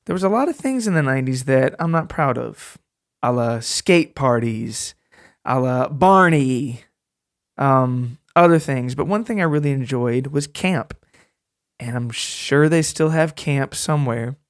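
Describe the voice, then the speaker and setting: A man's voice: mid-range voice, expressive, unhurried, no echo, studio quality. A man hosting a narrative podcast, talking to listeners in a joking, casual, storytelling way. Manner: joking, rambling, addresses listeners directly.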